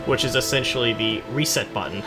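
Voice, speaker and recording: A man's voice: mid-range voice, brisk. A man giving casual, rambling video game commentary in a jokey, sarcastic and self-deprecating way. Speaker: gaming video host. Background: music behind the voice.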